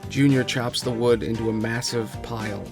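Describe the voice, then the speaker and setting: A man's voice: mid-range voice, fairly even intonation, normal speed, gravelly, over music. A man narrating a scripted story as the reader of a table read.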